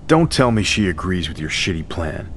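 Wary vampire outcast for a game: low-pitched, gruff, cynical, dismissive, over faint background noise. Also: male voice, big pitch swings, normal speed, a bit bright. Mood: suspicious. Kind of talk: video game cutscene acting.

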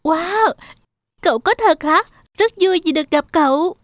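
A kind, excitable cartoon girl. She sounds sweet and very high, in a dry recording. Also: measured pace, no room sound. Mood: amazed, eager. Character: kind, excitable young girl, storytelling big sister.

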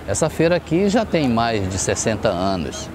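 A middle-aged man guiding viewers through a riverside fish market, explaining its history in a measured way. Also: mid-range voice, sing-song. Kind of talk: on-camera tour explanation. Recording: outdoor street noise behind.

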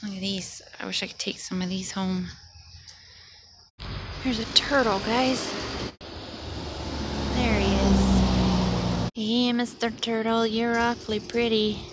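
Curious woman vlogging outdoors, birds loud. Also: medium pitch, steady tone, takes it slowly, long pauses, heavy background noise. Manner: curious, chatty, murmuring to herself. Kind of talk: casual nature walk vlog. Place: outdoors in overgrown woods.

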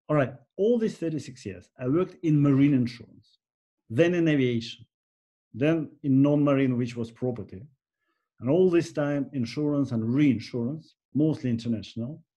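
An older man in his sixties from Russia, speaking English with a Russian accent, giving an unscripted lesson to a webcam from his home and gesturing freely. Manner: animated, gesturing, lively swings in pitch. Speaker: insurance specialist, channel host. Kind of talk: unscripted talk to camera. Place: home room, indoors. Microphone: webcam at desk.

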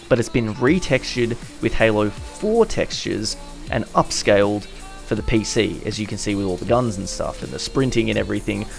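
A young man with an Australian accent gives loose, chatty voice-over commentary on a game. His voice sits low with a gravelly fry, and he stumbles and laughs at his own slips. Faint music plays quietly underneath. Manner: chatty, self-correcting with little laughs. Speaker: gaming YouTuber. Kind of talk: casual voice-over commentary over gameplay.

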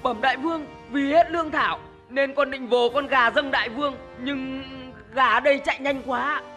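An actor playing an anxious, deferential soldier reporting urgently to his lord in an animated historical film. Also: very high-pitched, moderate pace, faint music behind. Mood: sheepish.

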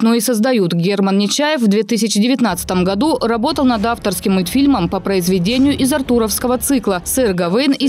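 A woman's voice: a little high, darkish tone. A woman narrating a scripted television news report as a reporter.

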